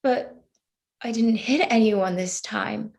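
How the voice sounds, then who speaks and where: A woman's voice: mid-range voice, gravelly, a little mellow. A woman in a staged play reading, voicing a hot-tempered, awkward and defensive teenage schoolgirl with a blunt, rambling way of talking. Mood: pleading, defensive. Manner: blunt, sweary, halting then rambling.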